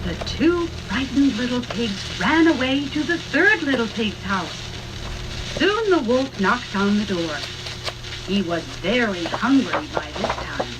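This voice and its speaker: A woman's voice: fairly high pitch, lively intonation, slow. A woman narrating a children's read-along story in a scripted storyteller style, on a hissy old vinyl record.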